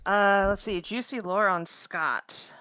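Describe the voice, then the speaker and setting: A woman with a crisp, bright voice speaks slowly in a casual interview as a guest author. The sound is dry, with no room around her, and her pitch sits in the middle. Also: dry recording.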